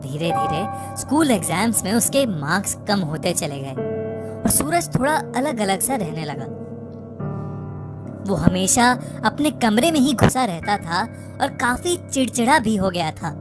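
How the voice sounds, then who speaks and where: A woman's voice: mid-range voice, big pitch swings, unhurried. A woman reads a scripted horror story as its narrator. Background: loud music.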